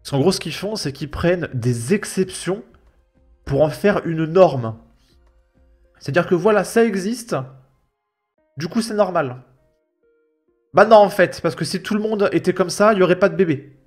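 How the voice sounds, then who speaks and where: Higher-voiced French man commenting, music behind. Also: lively intonation, moderate pace, pauses often, darkish tone. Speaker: host of a reaction video channel. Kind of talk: casual spoken commentary on a video. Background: background music.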